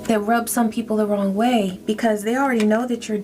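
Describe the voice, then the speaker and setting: A woman's voice: on the high side, steady tone. Soothing woman giving a tarot reading. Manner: soothing, affirming, speaks slowly to a listener. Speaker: tarot reader. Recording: music playing behind.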